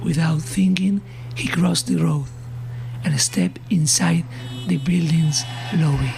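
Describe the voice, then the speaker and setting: Slow, creaky male horror narrator. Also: on the high side, fairly even intonation, noisy background. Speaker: story narrator.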